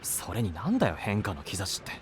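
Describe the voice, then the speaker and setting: A man voicing a blunt, brash young male knight apprentice in an anime voice drama, talking in a rough, casual way. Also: on the low side, huge pitch swings, fairly quick, slight background noise.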